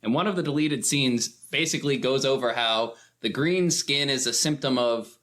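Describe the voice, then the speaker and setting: A man's voice: medium pitch, normal speed, a bit bright. Casual man explaining things in tangents. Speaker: podcast guest. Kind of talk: casual discussion.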